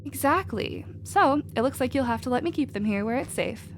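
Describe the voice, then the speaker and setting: Woman as a caring, higher-pitched female alien. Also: a little fast, creaky, slight background noise. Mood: indignant, pleading. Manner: casual, nurturing, expressive. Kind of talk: scripted sci-fi audio roleplay, ASMR.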